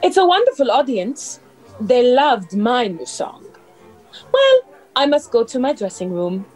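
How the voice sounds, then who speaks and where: A woman's voice: a little high, sing-song, measured pace, a little mellow, faint music behind, low-quality recording. A woman playing a vain, haughty cabaret singer with a theatrical manner in a radio play. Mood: smug. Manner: self-admiring, theatrical. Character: vain, haughty French cabaret diva, Monique. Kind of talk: hobby radio play acting.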